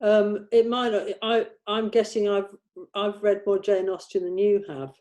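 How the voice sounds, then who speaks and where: A woman with a medium-pitched voice talks through a script as its writer. She is informal and tentative, often halting and doubling back on her words as she works out a thought. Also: fairly even intonation, a little mellow. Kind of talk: informal creative discussion.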